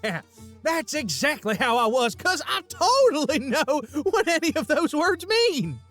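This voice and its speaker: A woman's voice: sits high, very animated, a little slow, fairly bright tone, faint music behind, full character voice. A woman voicing a nervous, wisecracking young male deer, rambling in a folksy way in an audio story. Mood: wry. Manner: folksy, awkward, jokey rambling. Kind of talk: acted dialogue in an audio story.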